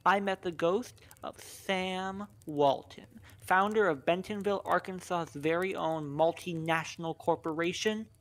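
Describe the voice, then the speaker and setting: A woman's voice: lowish voice, unhurried, hardly any pauses, lightly acted. A woman playing an earnest, opinionated friend who tells a story matter-of-factly in a comic radio play. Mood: earnest storytelling.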